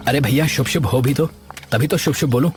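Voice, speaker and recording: A man playing a brash, impatient young villager in an animated story, sarcastic and argumentative. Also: medium pitch, brisk, lightly acted. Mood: teasing. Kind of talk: Hindi animated story dialogue. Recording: loud background music.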